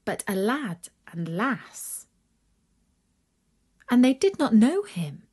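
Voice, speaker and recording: A woman narrating a children's fairy tale in an expressive, deliberate storytelling manner. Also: medium pitch, husky.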